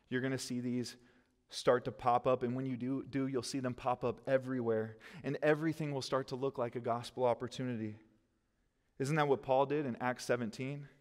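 A man in his thirties, a pastor preaching at a lectern, earnest and gesturing as he quotes scripture. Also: mid-range voice. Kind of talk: sermon. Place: church stage behind a lectern, indoors. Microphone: headset mic. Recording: long hall reverb.

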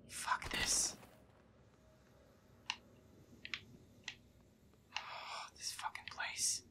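A man playing a feisty, grumpy werewolf boyfriend who mutters and swears his way through a scary video game, often in a breathy whisper. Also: medium pitch, sharp tone. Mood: tense muttering. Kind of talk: boyfriend audio roleplay as gameplay commentary.

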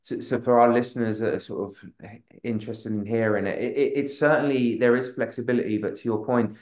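A man's voice: mid-range voice, steady tone, brisk, darkish tone. A man in his thirties, a real estate agent on a webinar panel, answering at length with many restarts and filler phrases over a video call. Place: room with a large wall map. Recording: roomy video call audio.

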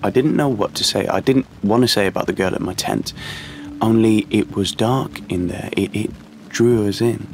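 A man in his twenties playing an awkward, uneasy young man in an audio play, speaking haltingly. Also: on the low side, a little hiss or hum, close to an everyday voice. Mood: hesitant, confiding. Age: 20s. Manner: hesitant, halting. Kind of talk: audio play monologue and dialogue. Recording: music behind the voice.

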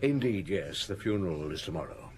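Radio drama inspector: shrewd, deliberate, wry, fairly low voice with lively inflection. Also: male voice, crowd noise. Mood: probing, wry. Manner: musing, deliberate, wry, hesitant pauses. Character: French police chief inspector, shrewd, unhurried, quietly probing. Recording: old radio broadcast.

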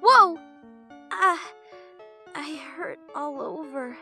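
A woman voicing a timid, excitable human child in a comic dub. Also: sits high, extremely slow, exaggerated voice. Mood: dazed. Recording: faint music behind.

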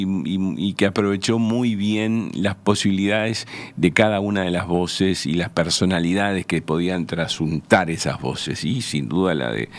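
A man in his sixties with a Uruguayan accent, reminiscing in a measured, hesitant way as a guest in a radio interview. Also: low-pitched. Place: small radio studio. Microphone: close studio microphone on a stand.

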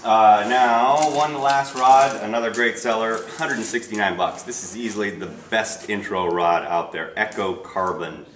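A man's voice: on the low side, fairly even intonation, unhurried, few pauses, a bit bright. An American man presenting products informally in a shop, unscripted, plugging them in short clipped phrases. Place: small retail shop, indoors. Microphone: camera a few feet away. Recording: echoey shop, distant camera mic, faint noise.

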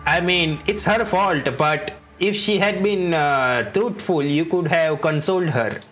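Game streamer, casual commentary, slow pace, interjecting, music behind. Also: medium pitch, a bit bright. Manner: interjecting, talking back to characters. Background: game music and dialogue.

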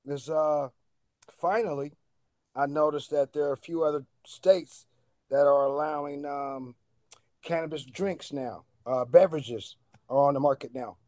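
Halting man chatting casually, dry sound. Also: fairly high pitch, fairly even intonation, a little mellow, dry recording. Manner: halting, pausing often mid-sentence. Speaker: radio guest, cannabis strain reviewer. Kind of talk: casual chat.

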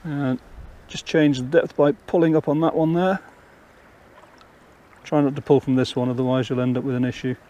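A man's voice: mid-range voice, steady tone, normal speed, mellow. An older man casually explaining fishing steps outdoors on a riverbank, talking step by step. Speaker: angler and channel host.

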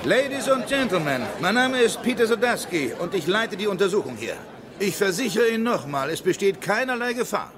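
A man's voice: high-pitched, big pitch swings, fairly quick, over music. Dry, authoritative male investigator. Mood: firm, official. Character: brisk, dry male federal agent leading an investigation. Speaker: lead investigator. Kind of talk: German film dub acting. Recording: film soundtrack with crowd murmur.

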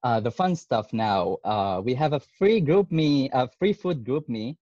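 A man's voice: mid-range voice, lively intonation, slow pace, mellow, no echo. A young man from Syria, a medical student in his twenties, presenting on a video call and speaking hesitantly with many fillers. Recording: dull, lo-fi video call audio.

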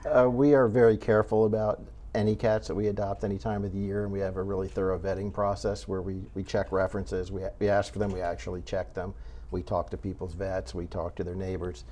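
An older man speaking as an interview guest from a cat rescue, choosing his words in a measured, halting way. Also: low voice, little pitch movement, warm tone. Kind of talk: unscripted interview talk. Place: indoor TV studio set. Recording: clear background noise.

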